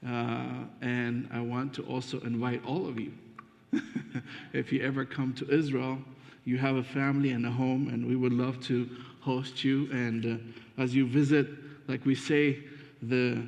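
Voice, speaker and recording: Ethiopian-born preacher in an echoing church. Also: male voice, mid-range voice, level tone, unhurried, creaky, a little mellow. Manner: friendly, hesitant delivery with fillers. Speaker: guest preacher. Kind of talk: sermon introduction. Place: church sanctuary. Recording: dull muffled sound with long reverb.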